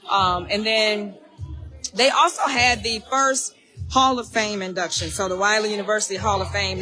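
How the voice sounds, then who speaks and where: A hoarse woman, hosting a casual live chat for alumni, talking fast and chatty and repeating herself. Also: a little high, bright, forceful tone. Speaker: alumni association host.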